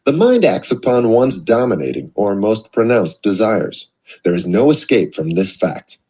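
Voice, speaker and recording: Reader: adult man, husky tone, lively pitch, steady read-along narration. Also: poor audio. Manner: measured, expressive reading. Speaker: audiobook reader.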